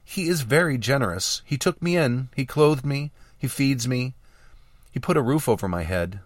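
A man's voice: medium pitch, expressive, moderate pace, dry recording, voice pushed up, lightly acted. A man voicing an earnest, loyal young apprentice girl in a fantasy audiobook, with an eager, sincere manner. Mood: eager, defensive.

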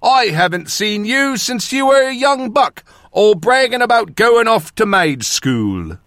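Casting: male reader as old country watchman, storybook fantasy. Raised pitch, thick rustic accent, friendly, folksy, hearty. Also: very animated, slow, a bit bright, dry recording, studio quality, exaggerated voice. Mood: delighted. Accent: thick northern rustic accent of a fantasy land.

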